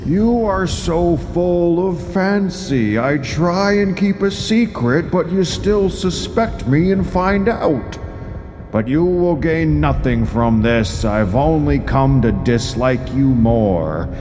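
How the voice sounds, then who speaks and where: A man's voice: on the high side, expressive, slow, darkish tone, big-room sound, soft background music, pitched above the performer's own voice. A man voicing a domineering king of the gods, stern, imperious and threatening, in an audio drama. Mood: stern, menacing.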